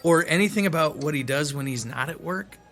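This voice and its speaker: A man's voice: fairly high pitch, fairly quick, fairly bright tone, faint music behind. A man playing Phil, a curious new theater crew member who keeps asking questions. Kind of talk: radio drama acting.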